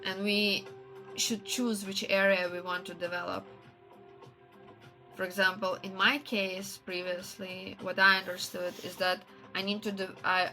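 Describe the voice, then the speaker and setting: A woman livestreamer with a non-native English accent, chatting casually and haltingly as she searches for words. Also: medium pitch, bright, forceful tone, poor audio. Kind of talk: casual chat stream.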